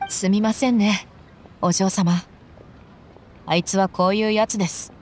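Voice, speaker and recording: 女性が演じるぶっきらぼうな女傭兵、中音域. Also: female voice, medium pitch, fairly even intonation, measured pace, a little hiss or hum, close to an everyday voice. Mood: apologetic. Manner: brusque, casual, frank. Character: tough, blunt young female desert mercenary. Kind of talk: Japanese anime game acting.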